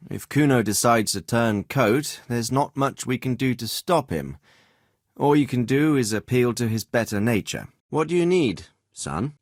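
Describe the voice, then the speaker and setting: An actor playing a middle-aged noble lord and commander in a video game, with a measured, formal and paternal manner. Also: male voice, mid-range voice, no room sound, studio quality. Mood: grave, deliberating, then matter-of-fact. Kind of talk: acted video game dialogue.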